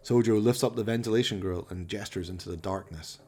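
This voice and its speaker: A man's voice: fairly low pitch, brisk, noisy background. A man narrating a radio play as dramatic scripted storytelling.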